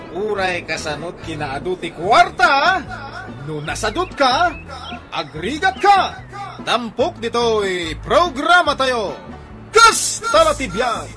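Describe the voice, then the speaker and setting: A man with a Filipino Ilocano accent announcing a radio drama in a dramatic scripted style. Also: high-pitched. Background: loud theme music.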